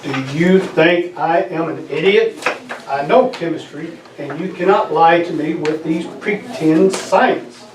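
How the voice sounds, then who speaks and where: Stern interrogating commander, man at a table read, higher male voice, distant mic, impatient and accusatory. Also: unhurried, background noise, natural voice. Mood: angry, threatening. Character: menacing, impatient male military commander interrogating a captive scientist. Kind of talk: amateur script table read. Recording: echoey room, distant mic, people around.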